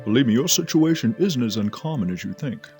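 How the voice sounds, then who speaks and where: An actor playing a calm, reassuring hypnotist doctor in an audio drama, with a measured, soothing, commanding delivery. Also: male voice, medium pitch, faint music behind, close to an everyday voice.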